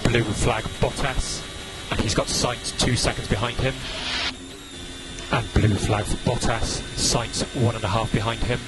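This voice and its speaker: A man working as a race engineer, giving brisk, clipped updates over team radio. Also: medium pitch, fairly even intonation, heavy background noise. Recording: radio over loud music.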